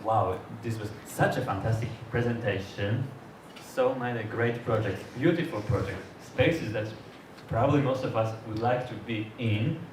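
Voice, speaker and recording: A man moderating a public talk, speaking English haltingly with many restarts and fillers. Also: fairly low pitch. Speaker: event moderator. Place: lecture hall. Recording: distant mic in an echoey hall with noise.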